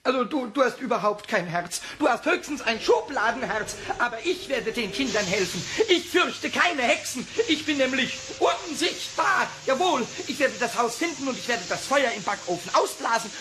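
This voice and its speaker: A tiny, bragging, childlike kobold in a children's radio play. The voice is very high, scratchy and squeaky, tripping over repeated words in excitement. The old cassette recording is clearly noisy. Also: male voice, normal speed, few pauses. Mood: defiant, boastful. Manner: breathless stammering, repeating words, exclaiming.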